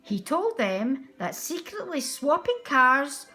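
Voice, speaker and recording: A woman narrating a children's storybook aloud in an expressive, deliberate way. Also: high-pitched, faint music behind.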